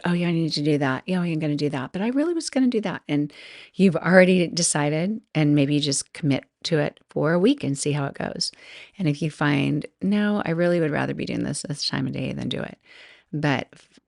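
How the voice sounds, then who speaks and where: A woman's voice: deep voice, gravelly, dry recording, clean studio sound. A middle-aged woman hosting a solo podcast, chatting casually and rambling, often laughing and interrupting herself. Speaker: podcast host.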